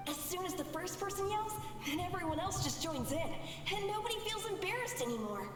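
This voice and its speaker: Echoey, very high excitable child. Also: female voice, a little fast, noisy background. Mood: eager. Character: excitable, food-loving little child in a memory. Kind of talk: video game voice acting. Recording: echoey flashback effect, distant, over music.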